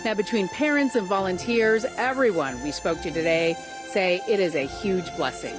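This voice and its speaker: A woman's voice: mid-range voice, normal speed, music in the background. A woman reporting for TV news in a scripted narration style.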